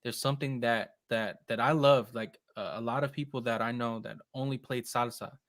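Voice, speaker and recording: A man guesting on a live chat, talking quickly and with energy and slipping in Spanish phrases. He sounds like he is on a video call. The room sound is dry, with almost no echo. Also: mid-range voice. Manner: animated, fast-talking, slips into Spanish phrases. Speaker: percussionist guest.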